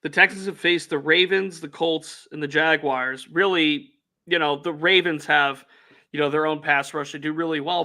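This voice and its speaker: Bright-voiced Youngstown sports podcaster. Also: male voice, on the high side. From Northeast Ohio. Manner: energetic, reads out viewer comments. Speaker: podcast co-host.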